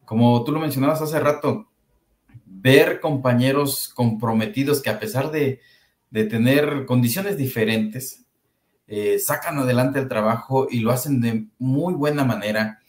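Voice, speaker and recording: A man's voice: mid-range voice, unhurried. A Mexican man, a school supervisor, reflecting thoughtfully in a panel conversation, building his points by repetition. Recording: echoey room.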